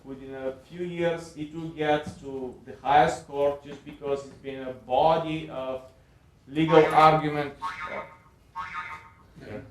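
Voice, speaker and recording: A man with a Bulgarian accent speaks as a panelist at an academic event. His voice sits a little high for a man, and the room echoes around him. He talks off the cuff, haltingly, often repeating words as he goes. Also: steady tone. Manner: halting, with frequent repeats and filled pauses. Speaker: panel speaker at a university lecture. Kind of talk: extemporaneous academic lecture. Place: indoor lecture event room.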